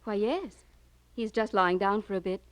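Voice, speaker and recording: A woman acting as a devoted young wife in an old radio crime drama. She is genteel and earnest, chatting politely in a medium-pitched female voice. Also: normal speed, natural voice. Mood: polite, bright.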